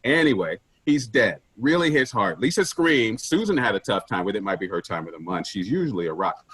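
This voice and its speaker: A man playing a pushy, penny-pinching office boss in a staged dark comedy, blunt and self-important as he lectures. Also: on the low side, sing-song, a little fast, breathy edge, dry recording, heavily acted. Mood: callous, flippant.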